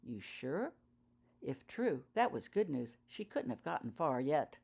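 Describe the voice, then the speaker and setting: A woman's voice: deep voice, very animated, normal speed, creaky, a little mellow, breathy edge. A woman reading her own fiction aloud as audiobook narration, telling the story in character-focused prose.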